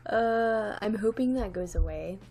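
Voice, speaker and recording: A woman's voice: medium pitch, unhurried, darkish tone, a little hiss or hum. Young woman with a slight lisp, rambling. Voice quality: slight lisp from a new retainer. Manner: rambling, many "like"s and restarts, light laughs. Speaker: vlogger. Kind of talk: casual chat to camera.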